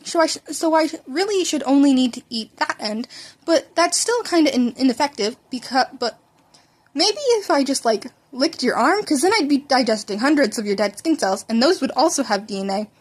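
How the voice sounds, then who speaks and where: A woman voicing an excitable, polite teenage boy hero-in-training who rambles and stammers, in a story reading. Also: high voice, normal speed. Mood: baffled, rambling.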